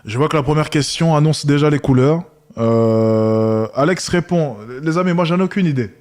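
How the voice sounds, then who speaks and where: A man's voice: on the high side, normal speed, darkish tone. A man hosting a live stream, reacting with hesitant, wry commentary full of restarts.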